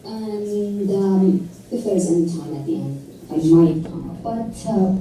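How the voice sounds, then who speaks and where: A woman poet giving a measured, deliberate poetry reading at a public talk in a lecture hall. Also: mid-range voice, dark tone, a little hiss or hum. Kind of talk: slow recited poetry reading. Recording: echoey hall, distant mic.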